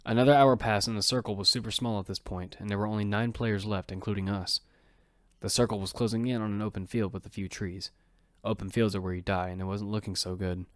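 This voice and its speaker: A man's voice: on the low side, fairly even intonation, quick pace, no room sound, studio quality. A man narrating a first-person action drama as its protagonist, in an earnest storytelling manner.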